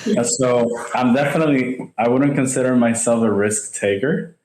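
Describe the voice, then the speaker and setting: A man from Rico, a podcast guest speaking in a measured, businesslike way. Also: mid-range voice, darkish tone, a little hiss or hum. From Puerto Rico. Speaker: guest, home builder. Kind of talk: podcast interview. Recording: echoey room.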